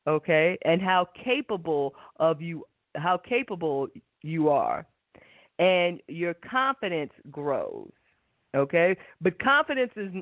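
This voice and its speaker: Female spiritual host, reflective and very unhurried, narrow telephone-quality audio. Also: lowish voice, dry recording. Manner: unhurried, reflective, often seeking agreement. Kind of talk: guided meditation and spiritual pep talk. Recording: tinny narrow phone-like line.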